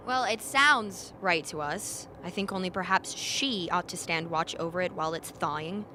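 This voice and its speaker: A bold, jokey polar explorer in a radio drama , played by a woman. She talks slowly in a lowish voice, with clear noise behind her. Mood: teasing. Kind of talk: dramatic radio play acting. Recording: clear steady background noise.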